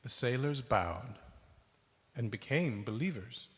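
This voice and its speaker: A man giving a dramatic spoken word recitation in measured, broken-up phrases. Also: medium pitch, lively intonation, a bit bright. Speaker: reader in a staged ensemble performance. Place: large synagogue hall, live performance. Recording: reverberant hall.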